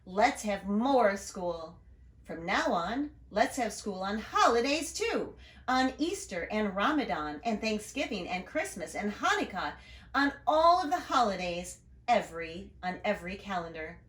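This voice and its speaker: A woman's voice: medium pitch, sing-song, fairly bright tone. Woman playing a proud, emphatic school principal. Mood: enthusiastic, grand. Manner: declamatory, drawn-out emphatic words. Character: proud, overeager male school principal making grand announcements. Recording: roomy, echoing room sound.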